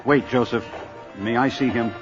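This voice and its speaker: A man's voice: medium pitch, fairly even intonation, normal speed, lightly acted. An actor playing a genial older grandfather scientist on a 1970s children's adventure record. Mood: grave. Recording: muffled old record with music.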